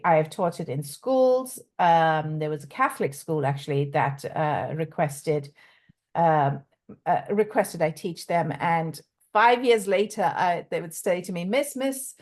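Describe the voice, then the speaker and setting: A middle-aged woman from South Africa, a coach and author, talking in a casual interview with many pauses and restarts. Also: fairly low pitch, animated. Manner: halting, many ums and restarts, laughs often.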